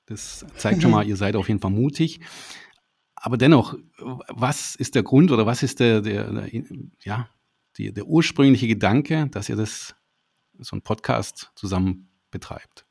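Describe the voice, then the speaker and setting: A man interviewing two podcast guests in a halting way, often repeating words. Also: lowish voice, lively intonation, creaky, a little mellow, breathy edge. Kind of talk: casual interview.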